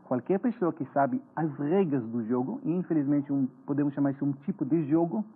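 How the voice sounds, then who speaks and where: A man's voice: on the high side, slightly rough. A man in his forties from Israel with an Israeli accent, earnestly explaining as a guest in a TV interview. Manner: earnest, explanatory, slightly halting. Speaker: guest, finance author. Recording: tinny phone-like broadcast sound.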